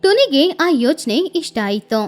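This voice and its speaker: A woman's voice: high voice, big pitch swings, normal speed, faint music behind. A woman narrating a scripted children's story as the storyteller.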